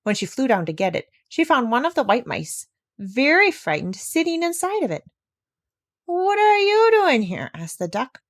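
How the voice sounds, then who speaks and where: A woman reading a children's story aloud in an expressive storybook style. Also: a little high, normal speed, no room sound, studio quality. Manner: expressive and animated. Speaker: narrator.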